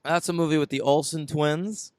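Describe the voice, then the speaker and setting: A man's voice: a little high, steady tone, normal speed, a little mellow, no echo. A man co-hosting a film podcast, chatting casually and joking as he reviews movies. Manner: joking, chatty, laughs often. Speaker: podcast co-host.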